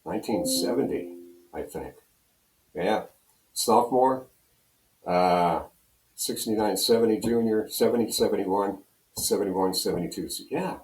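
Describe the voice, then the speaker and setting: A man's voice: lowish voice, a little slow, pauses often, a little mellow. An American man in his seventies telling stories in a casual, rambling way as an interview guest, often repeating his phrases. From Pennsylvania, United States. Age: 70s. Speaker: interview guest, radio program director and on-air personality. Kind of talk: casual storytelling reminiscence. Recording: roomy, echoing room sound.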